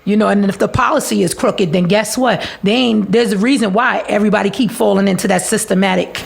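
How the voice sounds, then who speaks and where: Emphatic gravelly woman. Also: medium pitch, quick pace, slight background noise. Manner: emphatic, preachy, repeats phrases. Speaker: political candidate, guest. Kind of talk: casual podcast conversation.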